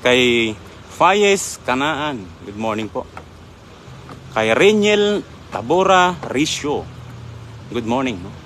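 A man's voice: medium pitch, big pitch swings, slow, long pauses, a bit bright, slight background noise. A young man hosting a live fishing stream outdoors by a river, greeting viewers by name in a chatty, polite manner, speaking into a handheld phone. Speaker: livestream host.

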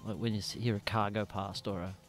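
A man's voice: fairly low pitch, flat delivery, fast, faint music behind. An Australian man hosting a casual podcast chat in a small studio, talking in a rambling way and swearing freely. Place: small dim studio room. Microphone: studio mic on boom arm, headphones.

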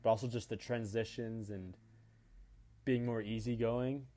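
Animated young man telling stories. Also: lowish voice, a little slow. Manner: animated, playful storytelling. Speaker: podcast co-host, guest. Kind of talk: casual chat.

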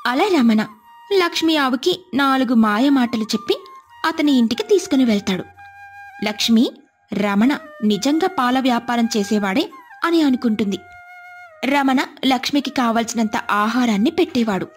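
High-pitched woman narrating a moral tale. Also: lively intonation, normal speed, soft background music. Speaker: storyteller. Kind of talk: scripted moral-story narration.

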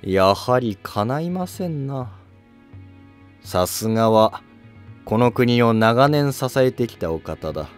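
A man playing a calculating, courteous court noble in a historical drama, polite, measured and self-assured. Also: medium pitch, big pitch swings, soft background music. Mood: composed, resolute.

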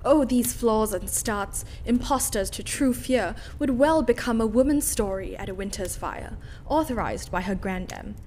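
A woman's voice: a little high, moderate pace, few pauses, darkish tone, a little hiss or hum, lightly acted. A woman playing a commanding, scornful queen in a theatrical reading of a Shakespearean tragedy. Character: commanding, scornful queen covering for her husband.

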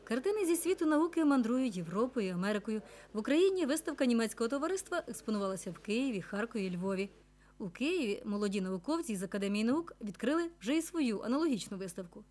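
A woman's voice: on the high side, big pitch swings, quick pace, a little mellow. A woman reporter reading scripted narration for a television news report.

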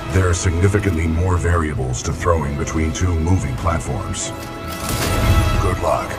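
Male actor as giant combat robot, deep voice, calm, formal, deadpan, literal, video game, loud music behind. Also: normal speed, lightly acted. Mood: calm, matter-of-fact. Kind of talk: video game character dialogue.

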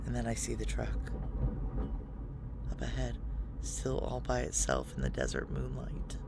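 A woman's voice: very deep voice, sing-song, a little slow, gravelly, very noisy, full character voice. An actress playing a tough, guarded, suspicious woman on the run in a noir audio drama, speaking in clipped, hard-boiled phrases. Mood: grim, tense. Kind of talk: noir audio drama acting with first-person narration.

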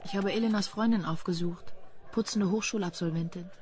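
A woman's voice: mid-range voice, a little fast, darkish tone, soft background music, close to an everyday voice. Terse, sardonic female investigator, acted by a woman. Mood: dry, businesslike. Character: brisk, sardonic female investigator named Katja. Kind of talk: German crime radio drama acting.

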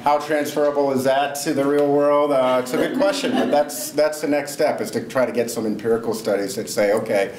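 A man's voice: medium pitch, steady tone, brisk, few pauses, a little mellow. A middle-aged man answering audience questions after his research talk, casual and thinking aloud with fillers. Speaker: presenter. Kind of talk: academic Q&A answer. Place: seminar room, indoors. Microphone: far camera. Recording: echoey room.

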